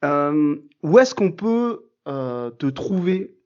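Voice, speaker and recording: A man's voice: on the high side, big pitch swings, a little mellow, smooth. Guy hosting a chatty podcast, stops and restarts a lot, muffled lo-fi sound. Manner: hesitant, halting questions full of fillers. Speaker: podcast host. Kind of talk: casual interview.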